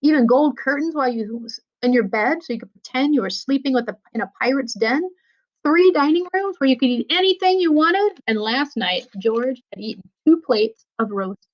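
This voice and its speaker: A woman with a fairly high voice reads a children's story aloud. Her delivery is expressive, in the manner of a school librarian. The recording is a little dull and lo-fi. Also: moderate pace. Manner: expressive, acts out dialogue. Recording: dull lo-fi sound.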